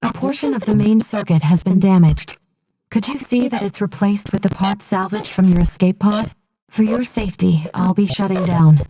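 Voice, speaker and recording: A woman's voice: medium pitch, dark tone, slightly rough, no echo, low-quality recording. Composed, precise female android officer. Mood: calm, clinical. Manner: measured, formal, clinical delivery. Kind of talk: video game voice acting.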